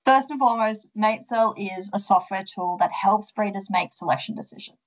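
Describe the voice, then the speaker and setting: A woman's voice: medium pitch, fairly quick, lo-fi sound. A young woman with an Australian accent, a guest on a podcast, answering questions in a friendly, explanatory way with frequent ums. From central west New South Wales, Australia. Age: young adult. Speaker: guest, sheep genetics development officer. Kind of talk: casual interview answers.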